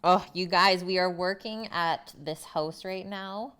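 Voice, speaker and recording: A woman's voice: fairly low pitch, steady tone, a little slow, a bit bright, clean tone. A woman hosting a live cooking stream, talking in a chatty, informal way to viewers as she works and tells stories. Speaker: cooking livestream host.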